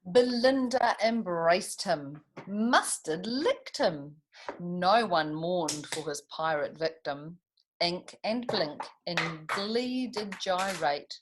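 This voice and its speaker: A woman reading picture books aloud to young children in an expressive way, with chatty asides and little tag questions. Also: lowish voice, a little hiss or hum, low-quality recording. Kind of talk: animated picture-book read-aloud with asides to young listeners.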